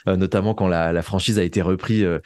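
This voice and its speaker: Low-voiced Frenchman, hesitant and conversational. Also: steady tone, fast, darkish tone. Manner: hesitant, repeats words while searching for phrasing. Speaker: interview guest, audio fiction writer. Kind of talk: casual interview conversation.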